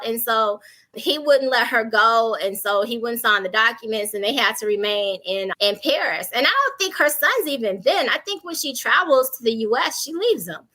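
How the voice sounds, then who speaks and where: An American woman from Chicago giving casual, candid advice in an animated, humorous way, full of examples. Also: sits high. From South Side of Chicago, United States. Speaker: panel guest. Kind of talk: casual conversational advice with anecdotes.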